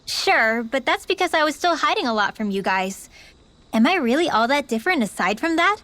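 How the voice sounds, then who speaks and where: A woman's voice: high-pitched, very animated, a little fast, a bit bright, no room sound. A woman playing an eager, bookish teenage girl mage in a video game, with a youthful, easily indignant manner. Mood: sheepish, indignant. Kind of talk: video game cutscene acting.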